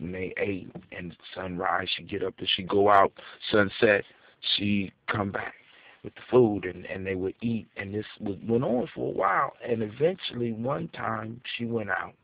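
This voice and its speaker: A man's voice: on the low side, vocal fry. Echoey, phone-like male storyteller. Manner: dramatic, emphatic, repeats phrases for effect. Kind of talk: improvised oral folktale telling for adults. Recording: echoey, muffled phone-like audio.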